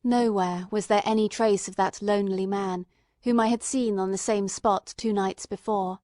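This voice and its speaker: A woman narrates a classic novel in a mid-pitched voice, recorded close and dry. Her delivery is measured and expressive, shaped as scripted storytelling. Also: no room sound. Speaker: audiobook narrator.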